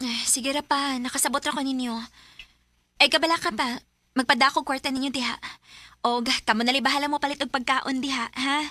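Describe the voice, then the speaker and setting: A woman voicing a gentle, understanding mother in a radio drama, speaking reassuringly. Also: sits high, steady tone, a little fast.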